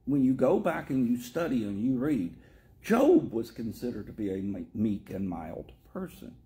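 Animated Tennessee man, lively pitch. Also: moderate pace. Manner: animated, joking, self-mocking. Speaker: pastor. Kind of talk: casual chat on scripture.

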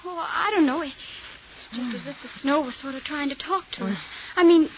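A woman's voice: sits high, moderate pace. An actress playing a timid, dreamy young woman who speaks hesitantly, in an old radio drama. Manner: hesitant, breathless. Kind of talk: 1940s radio acting. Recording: dull old radio recording with faint noise.

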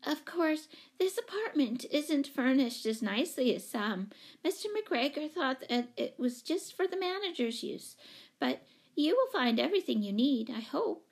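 A woman's voice: sits high, sing-song, unhurried, pitched above the performer's own voice, heavily acted. A woman voicing a fussy, anxious, chatty storybook lady who rambles apologetically and eagerly. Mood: nervous chatter.

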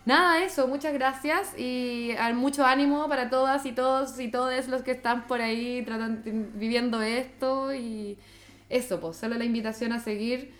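A woman's voice: on the high side, steady tone, moderate pace, fairly bright tone. A Chilean woman speaking conversationally as a guest psychologist, explaining things in an enthusiastic, encouraging way. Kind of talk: conversational interview, explaining feelings.

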